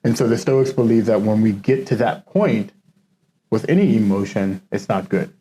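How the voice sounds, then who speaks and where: Mellow-voiced man musing about philosophy. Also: lowish voice, expressive, normal speed, a little hiss or hum, poor audio. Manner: reflective, loose, many "you know" fillers. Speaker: interview guest, mentor. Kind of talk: casual conversational interview.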